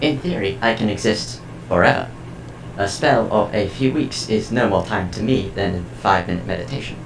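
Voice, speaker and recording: Man voicing a creaky, low artificial intelligence. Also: echoey room, over music, poor audio. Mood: reflective, measured. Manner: formal, precise, measured. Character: calm, formal, curious artificial intelligence. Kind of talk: audio drama acting.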